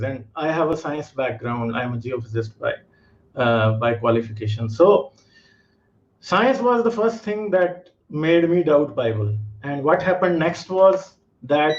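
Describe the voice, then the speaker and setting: Man, fairly high voice, earnest caller, muffled lo-fi line. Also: expressive, unhurried. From Pakistan. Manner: earnest, explanatory, with frequent fillers. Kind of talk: call-in discussion. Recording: muffled lo-fi call audio.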